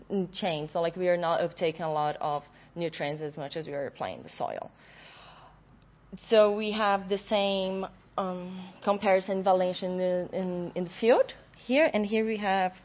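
A young adult woman, a graduate student, giving an academic conference presentation in a loud voice in a lecture room. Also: mid-range voice. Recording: dull lo-fi recording.

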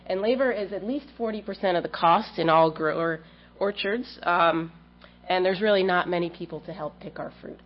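A woman's voice: fairly low pitch, moderate pace. A woman in her thirties with a cold giving an academic research seminar, explaining her work with frequent ums. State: has a cold, slightly stuffy. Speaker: plant scientist giving a research talk. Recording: dull lo-fi lecture audio with faint hum.

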